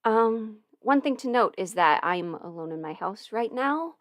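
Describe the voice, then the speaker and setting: A woman's voice: medium pitch, lively intonation, measured pace, no room sound, clean studio sound. A woman chatting casually on a livestream from home, rambling and muttering to herself as she fiddles with settings. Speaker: audio performer streaming live. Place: at home, alone in her house. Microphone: studio mic through an audio interface.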